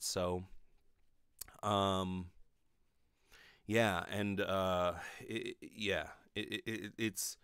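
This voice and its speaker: A man's voice: fairly low pitch, fairly bright tone, clear, resonant tone, dry recording. A man in his thirties giving a casual, rambling, sweary monologue with lots of hesitation, speaking into a close studio microphone. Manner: informal, sweary, hesitant with frequent filler "like". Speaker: podcast host, actor and acting teacher. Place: small bedroom, indoors. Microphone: close studio microphone on a stand.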